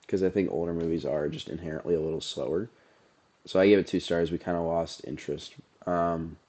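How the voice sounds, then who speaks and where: A young man with a low, mellow voice, stuffed up and nasal from allergies. He rambles through casual movie talk in a relaxed, filler-heavy way, like a podcast co-host among friends. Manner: laid-back, rambling, lots of "like" and "um".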